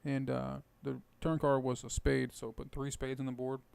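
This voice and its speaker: A man with a rough, hoarse voice casually explaining his poker plays while thinking aloud. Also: mid-range voice, monotone, moderate pace, a little mellow, no room sound. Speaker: poker video host. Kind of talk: casual poker commentary over gameplay.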